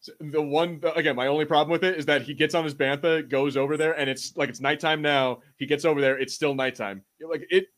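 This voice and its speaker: A man's voice: a little high, steady tone, quick pace, few pauses, fairly bright tone. An American man co-hosting a podcast, talking casually in a rambling, slangy way about things he is a fan of. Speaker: podcast co-host.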